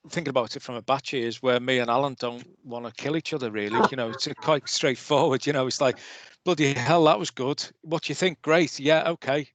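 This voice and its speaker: A man's voice: mid-range voice, no echo. Chatty man telling an anecdote. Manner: chatty, anecdotal, quotes himself animatedly. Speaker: poetry magazine co-editor. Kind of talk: panel discussion, casual.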